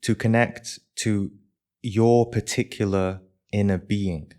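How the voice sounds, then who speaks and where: Slow, low-voiced man guiding meditation. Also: fairly even intonation, warm tone. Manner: measured, pausing between short phrases. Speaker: meditation teacher leading a group. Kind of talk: live guided meditation, spoken instruction.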